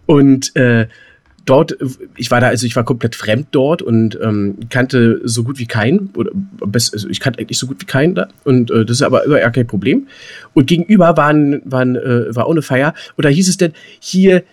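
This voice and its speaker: A man's voice: mid-range voice, a little fast, slightly rough. A man co-hosting a podcast, telling anecdotes in a rambling, colloquial, casual way. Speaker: podcast co-host.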